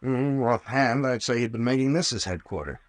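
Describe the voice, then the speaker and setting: An actor playing a brash, sardonic captain and investigator with folksy slang in an old-time radio drama. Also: male voice, mid-range voice, expressive, a little fast, no room sound, lightly acted. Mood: wry, probing. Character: gruff wisecracking sea captain playing armed investigator.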